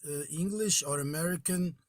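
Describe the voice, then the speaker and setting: A man's voice: fairly high pitch, moderate pace, slight background noise. A man in his forties whose first language is Portuguese, calling in and searching hesitantly for English words. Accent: Portuguese-speaking. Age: 40s. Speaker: caller.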